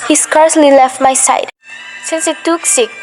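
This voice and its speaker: Brief: student actress as high-voiced, fretful invalid woman, demanding and jittery. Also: fairly even intonation, normal speed, darkish tone, faint music behind, close to an everyday voice, low-quality recording. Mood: flustered. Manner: fretful, insistent, rising to panic. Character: anxious bedridden wealthy woman, nervous and demanding. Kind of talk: school radio play acting.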